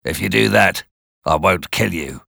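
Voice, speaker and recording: A rough escaped convict growls his threats slowly in a low voice, as a male reader does him. The sound is close and dry. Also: expressive, pushed and bright, no room sound, full character voice. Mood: threatening.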